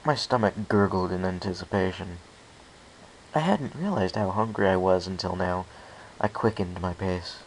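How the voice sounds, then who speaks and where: A man's voice: low voice, normal speed, low-quality recording. A man narrating a first-person story as an amateur audiobook reader, reading carefully with some stumbles. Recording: faint background hiss.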